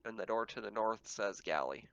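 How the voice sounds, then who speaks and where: A man's voice: fairly low pitch, lively intonation, moderate pace, creaky, fairly bright tone, dry recording, lo-fi sound. A man running a tabletop game as game master, describing scenes casually and a little hesitantly as he pieces them together.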